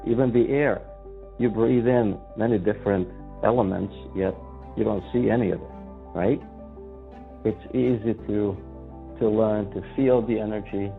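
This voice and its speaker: Gravelly low-voiced man from Yugoslavia. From Yugoslavia, in a Hungarian family. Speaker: guest, energy healer. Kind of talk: conversational storytelling in an interview. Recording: thin phone-like sound, faint music.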